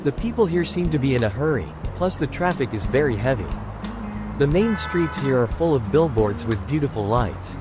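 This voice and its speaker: A man's voice: medium pitch, lively intonation, normal speed. A man reading scripted first-person story narration. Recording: music bed under the voice. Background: music.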